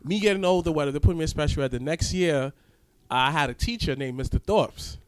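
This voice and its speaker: A man's voice: a little high, brisk, clean tone. A young man as a podcast guest, telling his story candidly and laughing often. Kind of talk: casual podcast interview.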